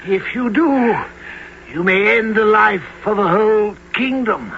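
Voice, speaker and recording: An actor playing an old wizard who narrates a knightly adventure in a grave, dramatic, drawn-out radio drama style. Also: male voice, high-pitched, animated, gravelly, a little hiss or hum, full character voice. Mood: solemn, urgent. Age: older. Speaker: narrator and character. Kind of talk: theatrical old-time radio drama storytelling. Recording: dull radio broadcast, loud music.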